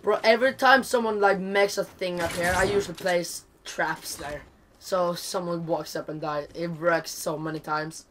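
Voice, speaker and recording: A man's voice: high-pitched, fairly even intonation, normal speed, fairly bright tone, slight background noise. A young man from Norway whose voice keeps cracking, chatting casually with a teammate while gaming. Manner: laid-back, swears, laughs often.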